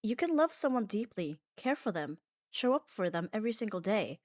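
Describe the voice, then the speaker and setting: A woman's voice: medium pitch, big pitch swings, a little fast, darkish tone, no room sound, clean studio sound. A woman delivering a scripted comfort ASMR monologue in an earnest, reflective manner, leaning on short emphatic phrases. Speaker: ASMR audio performer.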